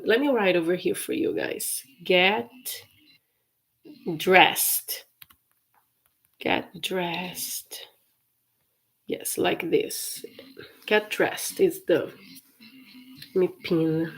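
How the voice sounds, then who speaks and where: Slow, careful woman teaching English. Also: on the low side, gravelly. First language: Portuguese. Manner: slow, careful repetition of phrases with frequent laughs. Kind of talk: live-stream English lesson.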